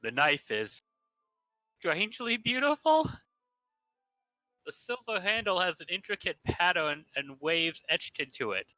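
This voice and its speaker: A man reading game narration aloud in a plain, steady way. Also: sits high, unhurried, long pauses, no room sound, soft background music, poor audio.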